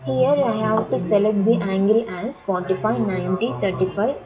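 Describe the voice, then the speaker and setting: A woman with an Indian accent teaching software step by step as an instructor, in short, repetitive instructional phrases. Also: medium pitch, moderate pace. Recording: dull lo-fi audio, chatter of voices behind.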